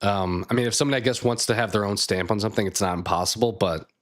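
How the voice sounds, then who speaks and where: A man streaming a card game live, thinking aloud and chatting with viewers, his voice a bit raspy. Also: lowish voice, racing. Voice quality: slightly raspy. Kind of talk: casual live gameplay chat.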